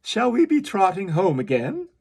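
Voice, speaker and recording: A blunt, impatient carpenter barks his words loud and raised, with a husky, gravelly edge, as a man voices him in a recited poem. He speaks in short curt bursts. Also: high-pitched, wildly swooping pitch, moderate pace, voice pushed up, exaggerated voice.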